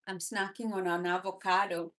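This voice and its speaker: A woman's voice: fairly low pitch, level tone, moderate pace, fairly bright tone. A young woman from Kenya with a Kenyan accent, hosting a casual political livestream, mocking and laughing as she answers chat. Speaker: livestream host.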